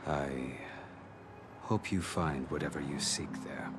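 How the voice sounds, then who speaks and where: Extremely deep, brooding cold killer. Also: male voice, slow pace, heavy background noise. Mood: grave, cold. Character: grave, brooding male killer, cold and world-weary. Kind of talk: dark video game drama voice acting. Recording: very loud music over the voice.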